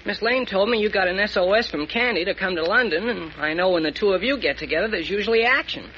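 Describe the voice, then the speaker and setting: A man playing an eager, cheeky teenage cub reporter in a 1940s radio serial, excitable and slangy. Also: sits high, fast talker. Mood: breezy, eager. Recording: muffled, noisy old radio recording.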